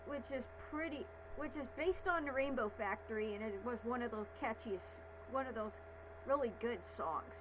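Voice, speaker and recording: A woman with a high-pitched voice comments casually as a reaction host. She talks haltingly and repeats her phrases, with clear humming noise in the background.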